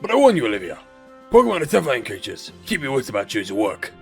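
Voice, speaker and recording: A man's voice: mid-range voice, wildly swooping pitch, racing, vocal fry, slightly rough, soft background music, full character voice. A man playing a stern, proud military commander, formal and severe. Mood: urgent, warning.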